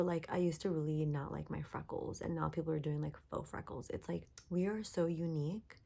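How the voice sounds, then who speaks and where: Hoarse-voiced woman hosting a chatty podcast. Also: sits low, dark tone. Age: young adult. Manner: chatty, upbeat, frequent likes and laughs. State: hoarse, voice partly lost. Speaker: podcast host. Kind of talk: casual self-help podcast monologue. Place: her bedroom at night.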